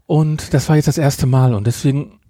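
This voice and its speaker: A man's voice: mid-range voice, steady tone, normal speed, mellow, dry recording, studio quality. A man hosting a podcast in an informal, conversational way, addressing listeners directly. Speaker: podcast host. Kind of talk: casual chat, reviewing an audio drama.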